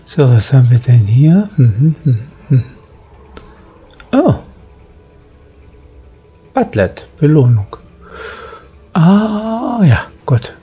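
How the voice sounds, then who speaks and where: A man's voice: medium pitch, very animated, extremely slow, long pauses, dark tone, breathy edge, muffled recording, faint music behind. A man hosting a gaming channel, giving casual let's play commentary and reading game dialogue aloud.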